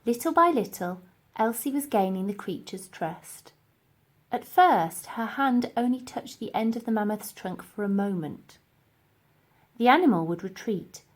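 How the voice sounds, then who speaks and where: Slow, expressive woman reading a storybook. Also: mid-range voice. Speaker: storybook reader. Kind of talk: expressive children's story narration.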